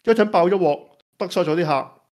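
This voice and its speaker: Casting: male actor as earnest Hong Kong Cantonese office worker, slightly high voice, radio drama, persuasive pleading. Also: brisk, darkish tone, natural voice. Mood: earnest, persuading. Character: earnest office employee, Stephen, pleading for more staff. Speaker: employee pressing his boss.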